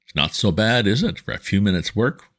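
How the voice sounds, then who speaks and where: A man voicing a conceited, boastful male toad in a storybook reading, lifting his voice higher and louder. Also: very animated, fairly quick. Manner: swaggering, higher and louder than his narration.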